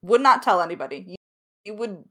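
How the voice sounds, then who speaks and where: Woman, mid-range pitch, playful, emphatic, casual podcast co-host chat. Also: lively intonation, fairly quick, bright, forceful tone.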